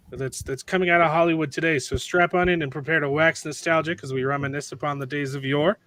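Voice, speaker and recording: A man hosting a casual podcast, hoarse from a cold, rambling with restarts and joking asides. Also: fairly high pitch, pushed and bright, no echo. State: hoarse, losing his voice from a cold. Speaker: podcast host.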